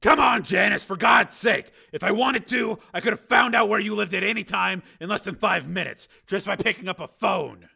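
A hard-edged man who has become a machine, raising his voice sharply and pressing every point, as a male reader puts him on. The tone is tense and high, with a rough gravelly grain. Rendered as a dramatized audiobook. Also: fairly quick, few pauses, heavily acted. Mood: stern. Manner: forceful, shouting, insistent.

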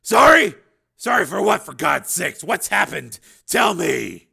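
Tough cop dad, performed by a male narrator, forceful and raised in pitch, urgent, worried, for audio fiction. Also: very animated, normal speed, vocal fry, breathy edge, heavily acted. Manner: intense, urgent, demanding. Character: tough, worried police lieutenant and anguished father.

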